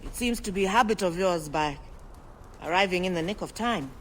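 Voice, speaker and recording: Higher-voiced, fussy Egyptian antiquarian man. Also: animated, brisk, fairly bright tone, a little hiss or hum. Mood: rueful. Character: anxious, scholarly, opportunistic ancient Egyptian antiquarian man. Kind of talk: dramatic game cutscene acting.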